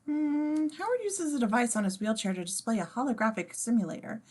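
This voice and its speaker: A woman's voice: on the high side, sing-song, brisk, darkish tone. A woman reading a script's scene descriptions at a table read, informal and playful, with casual asides. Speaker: stage-direction reader.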